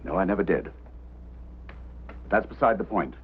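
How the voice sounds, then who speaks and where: A man's voice: sits low, expressive, moderate pace. An actor playing a stern, embittered man in charge of a search, firm and authoritative, in a mid-century film drama. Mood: bitter, restrained. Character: stern, embittered male boss of a search operation. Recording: muffled, band-limited old film soundtrack, faint hum.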